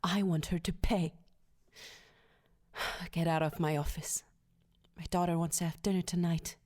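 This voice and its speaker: A woman's voice: fairly low pitch, fairly even intonation, unhurried, darkish tone. A woman playing a cold, curt, middle-aged female crime boss in an audio drama. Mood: stern, impatient. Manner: curt, commanding.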